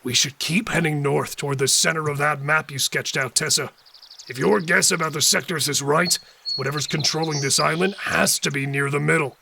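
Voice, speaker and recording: Guy doing gravelly young survivor dude, bright tense voice, earnest. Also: on the high side, fairly even intonation, fairly quick, a little hiss or hum. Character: Cole, young male survivor, ex-delivery driver.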